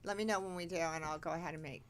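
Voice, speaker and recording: A woman hosting a talk podcast, reading guest introductions with emphatic, slowed stresses and asking friendly questions. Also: low voice, fairly even intonation. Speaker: podcast host. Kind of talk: hosted talk show interview.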